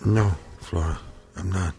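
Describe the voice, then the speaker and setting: Creaky, obsessed paranoid husband. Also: male voice, low-pitched, measured pace, warm tone, a little hiss or hum. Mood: brooding, suspicious. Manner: intense, stammering repetitions, dramatic. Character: obsessed, paranoid gambler husband, grimly stubborn then panicked. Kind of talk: radio drama acting.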